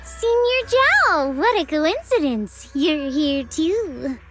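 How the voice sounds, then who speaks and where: Playful game junior: high register, wide sing-song swings, coy teasing manner. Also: female voice, sing-song, slow pace, over music, exaggerated voice. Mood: coy, friendly. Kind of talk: anime-style game dialogue.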